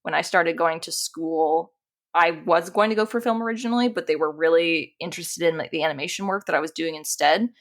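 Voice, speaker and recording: Casual, chatty woman with a lowish voice. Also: a little fast. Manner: chatty, informal, self-deprecating, often laughing. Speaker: podcast guest, animator and dungeon master. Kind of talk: casual interview chat.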